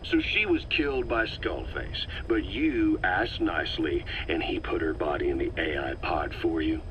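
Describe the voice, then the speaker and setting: Hard-edged interrogator for a game male actor, deep voice, cynical and pressing, slightly muffled with faint noise. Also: moderate pace, close to an everyday voice. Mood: cold accusation. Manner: pointed, sardonic, pressing. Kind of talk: video game cutscene acting.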